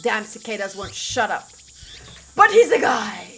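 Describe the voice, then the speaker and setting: A woman's voice: mid-range voice, very animated, unhurried, gravelly, a bit bright. A woman game streamer reading a visual novel aloud theatrically, mixed with casual reactions. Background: faint game music.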